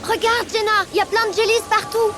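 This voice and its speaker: Very high-voiced, spirited cartoon girl. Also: steady tone, brisk. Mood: bossy, disgusted. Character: confident, spirited, bossy young cartoon girl. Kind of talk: French cartoon dubbing. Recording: clear music underneath.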